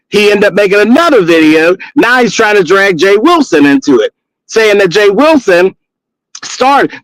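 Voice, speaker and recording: Sassy high-voiced man in his fifties. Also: dry recording, rough recording. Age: 50s. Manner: sassy, blunt, gossipy with cussing. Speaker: guest on a live stream. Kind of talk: casual chat and storytelling.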